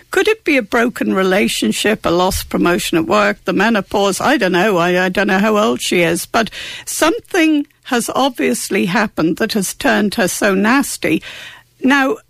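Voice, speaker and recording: A woman with a croaky voice, giving forthright, wryly humorous advice on a radio slot. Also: mid-range voice, lively intonation, moderate pace, dry recording. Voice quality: croaky voice after illness. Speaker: agony aunt.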